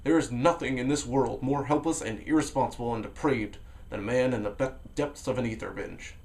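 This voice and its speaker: A man reading a novel aloud on a vlog, expressive, with sudden leaps in pitch and loudness and a low, hushed voice for inner thoughts. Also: brisk. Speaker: vlogger reading a book aloud. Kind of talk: dramatic read-aloud of a novel.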